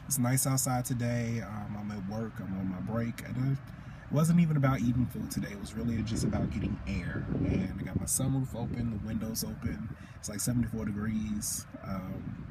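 Male vlogger, 30s, mid-range voice, informal and reflective, recorded in a car with noticeable background noise. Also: medium pitch. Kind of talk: casual car chat to camera. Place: inside a parked car, windows open.

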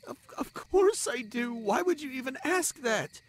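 A man voicing a young spider adventurer and secret lost prince in a fantasy audio roleplay, snarky, anxious and haltingly flustered. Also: very high-pitched, animated, full character voice. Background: crickets, night forest ambience.

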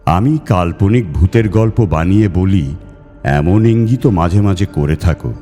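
A man's voice: low voice, expressive, measured pace, warm tone. A man voicing a tall-tale teller's letter in a story reading, declamatory and persuasive. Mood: challenging. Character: tall-tale-telling man writing a challenging, inviting letter. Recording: long reverb over music.

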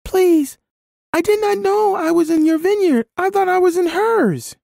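Guy doing a scared little orphan girl for an audio story, squeaky high, begging. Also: a little slow, creaky, darkish tone, no room sound, studio quality, heavily acted. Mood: pleading. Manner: pleading, protesting. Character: frightened orphan girl, small child, pleading and crying. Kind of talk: dramatised storybook narration.

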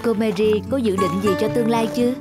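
A man voicing a proud young male scientist in an animated dub, direct and emphatic in manner. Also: high voice, fast, over loud music, lightly acted. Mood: admiring. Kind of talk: animated cartoon dub dialogue.

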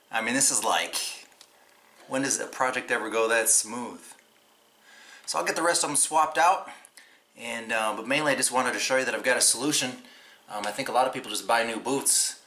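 A man's voice: medium pitch, bright, forceful tone. A man in his forties chatting casually in a how-to vlog, upbeat and full of ums, recorded by a camera across a desk in a small room. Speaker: vlogger.

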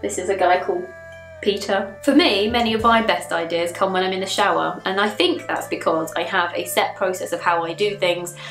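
A woman's voice: mid-range voice, fairly even intonation, brisk, fairly bright tone, soft background music. A young woman musing aloud to camera as a photography vlogger, recorded in a small bathroom. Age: young adult. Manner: thoughtful, musing, asks questions aloud. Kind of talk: casual reflective talk to camera. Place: small tiled bathroom, indoors. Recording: roomy small-bathroom echo.

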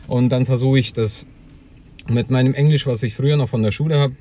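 A man's voice: medium pitch, steady tone, a little fast, mellow. A man who works as a heating installer, telling casually and chattily how he handles a job. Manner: chatty, loose storytelling with filler "ja?" and "ähm". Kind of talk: casual vlog narration.

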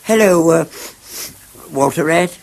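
An actor playing a timid, excitable mole with an English accent, muttering and repeating himself in a 1970s radio drama. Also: male voice, a little high, slow pace, gravelly, full character voice. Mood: shy. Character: timid, excitable old mole, easily delighted. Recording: hissy old radio broadcast.

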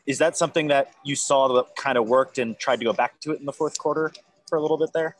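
A man's voice: medium pitch, quick pace. A man reporter at a press conference asking long, detailed questions about game tactics. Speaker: sports reporter.

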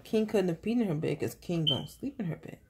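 A woman's voice: on the low side, animated, fairly quick, noisy background. A woman in her thirties, a mother chatting and teasing her daughters at bedtime, filming on a phone in bed. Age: 30s. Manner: playful teasing, repeating questions. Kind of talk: casual family chat at bedtime. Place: bedroom, lying in bed indoors. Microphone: phone camera held at arm's length.

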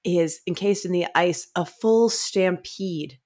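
Low-voiced young woman chatting casually. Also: slow, a little mellow. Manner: acts out characters' lines in mock dialogue. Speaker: co-host of a book club show. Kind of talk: casual chat recapping a story. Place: small room at home, on a video call. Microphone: desk microphone, close.

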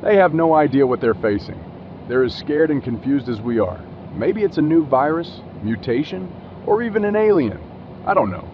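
An animated man acting as a skeptical male caller in a horror drama, chatting emphatically with a friend. His voice comes over a dull line with clear noise around it. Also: on the high side, moderate pace, lightly acted. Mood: skeptical, uneasy. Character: suspicious man gossiping with a friend by phone. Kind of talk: scripted casual phone chat. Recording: dull, noisy outdoor phone call.

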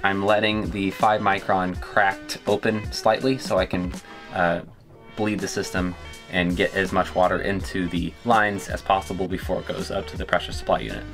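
A man in his thirties giving a relaxed step-by-step how-to explanation inside a small sailboat cabin. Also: low-pitched, normal speed. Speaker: sailing vlogger. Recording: background music over voice.

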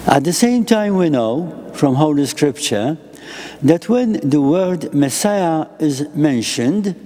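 Old priest preaching slow in short bits, mellow voice, church reverb hanging behind. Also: male voice, on the high side. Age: older. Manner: slow, deliberate phrasing in short measured pieces. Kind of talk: sermon. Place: chapel with an icon and a lectern, indoors. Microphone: lectern microphone.

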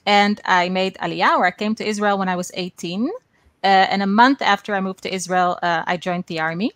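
A young woman from the Netherlands speaks as a guest on a video call, using a headset mic in a small room. Her talk is earnest and steady, with frequent ums. The sound is dry and close. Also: mid-range voice, brisk, no echo. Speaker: guest activist.

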